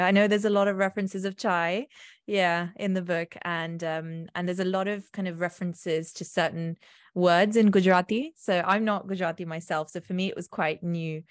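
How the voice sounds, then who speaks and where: A woman's voice: on the low side, dry recording, studio quality. A woman hosting an interview, asking questions in an encouraging, friendly way. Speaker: podcast host. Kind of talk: casual interview chat.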